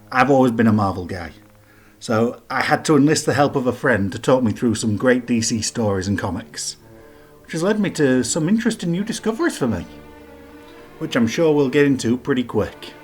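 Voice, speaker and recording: A man giving informal scripted narration as the host of a countdown list video. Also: mid-range voice. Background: background music.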